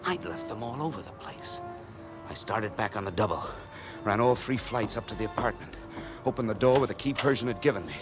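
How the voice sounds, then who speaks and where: A man acting a wary, hardboiled man on the run in an old radio noir drama, narrating and talking in terse, deadpan slang. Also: lowish voice, brisk, close to an everyday voice. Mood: uneasy narration. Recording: old time radio recording with music behind.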